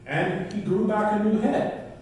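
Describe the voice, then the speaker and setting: Echoey, high-pitched man telling a tale. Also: fairly even intonation, a little mellow. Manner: deliberate, theatrical pauses and emphasis. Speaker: club speaker telling a folk tale. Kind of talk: animated live storytelling speech. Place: indoor club meeting room. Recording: very echoey room, distant mic, faint noise.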